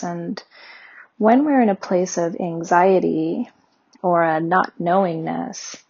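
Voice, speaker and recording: A woman leading a guided meditation with slow, soothing, gentle instructions. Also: fairly low pitch, slightly rough, highs cut off.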